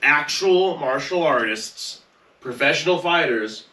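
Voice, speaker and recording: Sharp bright guy on a movie podcast, casual, echoey room. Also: on the high side, expressive, slow. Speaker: podcast panelist. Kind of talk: casual film discussion. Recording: roomy echo, distant mic.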